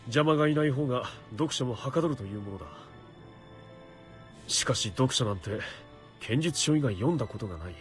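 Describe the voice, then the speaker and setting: A man's voice: medium pitch, animated, very fast, faint music behind, natural voice. A man voicing a stern, earnest young knight in a Japanese visual novel, speaking formally as he mutters to himself. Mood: dry, resolute.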